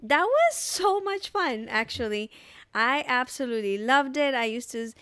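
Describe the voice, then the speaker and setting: A woman in her thirties from El Salvador, a podcast guest recalling her childhood in an unhurried, thoughtful way, with many fillers, recorded on a boom mic in a living room. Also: big pitch swings, fairly bright tone, clean tone, dry recording. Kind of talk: casual interview storytelling. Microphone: desk mic on boom arm.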